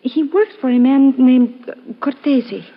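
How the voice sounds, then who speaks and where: A woman's voice: sits high, unhurried. An actress playing a frightened, earnest foreign woman in a 1950s radio drama, speaking precisely and formally, on a muffled vintage recording. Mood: earnest, uneasy.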